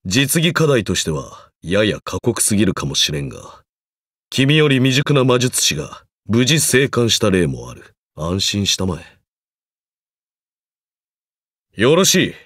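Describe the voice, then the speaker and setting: A man's voice: on the low side, sing-song, slow pace, long pauses, a bit bright, no echo, exaggerated voice. Game role: stern male magecraft lecturer, formal, condescending, grudgingly encouraging, very varied intonation, dry close studio sound. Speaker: voice actor in a mobile game. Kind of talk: scripted game character lines.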